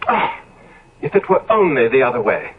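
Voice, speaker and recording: An actor playing an impressionable, vain and nervous young gentleman in a 1970s radio drama, stammering and hesitant as he speaks. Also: medium pitch, big pitch swings, moderate pace, breathy edge. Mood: agitated, yearning. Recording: dull lo-fi old radio broadcast.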